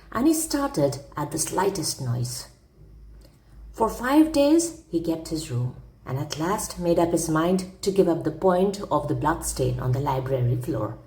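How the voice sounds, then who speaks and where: A woman's voice: low-pitched, mellow, roomy sound, poor audio. A woman narrating a classic humorous story aloud as a storyteller, with theatrical, emphatic phrasing.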